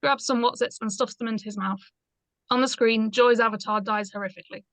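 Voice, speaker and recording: A woman's voice: on the high side, steady tone, fairly quick, a bit bright, dry recording. A woman reading stage directions aloud as scripted narration in a screenplay read-through.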